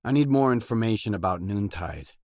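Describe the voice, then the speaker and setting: An actor playing a gruff, guarded, hard-bitten mercenary in a video game, speaking in terse, blunt, businesslike lines. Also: male voice, lowish voice, expressive, a little fast, darkish tone, no room sound, clean studio sound, natural voice. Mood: inquisitive, guarded.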